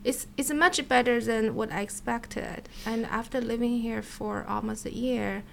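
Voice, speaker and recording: A woman's voice: a little high, fairly even intonation, measured pace, creaky, a little hiss or hum. A middle-aged woman from China, a scientist chatting casually in an interview, laughing often and repeating words. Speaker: scientist and podcast guest. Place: her office. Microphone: desk mic.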